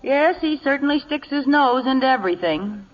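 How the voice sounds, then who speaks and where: A woman's voice: high voice, animated, normal speed. A woman playing a sensible, efficient secretary, dry and businesslike, in 1940s radio comedy style. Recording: muffled old radio broadcast.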